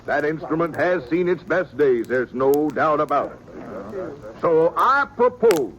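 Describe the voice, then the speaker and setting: A pompous, stammering preacher. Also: male voice, fairly high pitch, huge pitch swings, measured pace, fairly bright tone, muffled recording, full character voice. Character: fussy, wordy small-town male preacher. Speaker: reverend. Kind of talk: 1950s radio drama acting. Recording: old radio recording with faint hiss.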